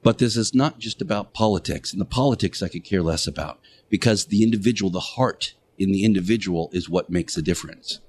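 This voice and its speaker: A man hosting a radio show, preaching in a deliberate monologue with emphatic short phrases, pauses and repetition. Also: sits low, darkish tone, a little hiss or hum. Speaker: radio show host playing Jesus in interactive radio theater.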